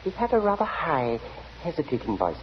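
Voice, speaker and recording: An actor playing an elderly, insistent, eccentric gentleman witness, fussy and precise, in 1940s radio style. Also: a little high, huge pitch swings, fairly quick. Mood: precise, certain. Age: older. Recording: muffled, hissy 1940 radio broadcast.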